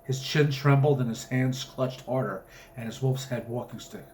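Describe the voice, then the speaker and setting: Man, author reading aloud, dramatic literary narration, medium pitch, noticeable background noise. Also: steady tone, normal speed, a little mellow. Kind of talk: scripted story narration.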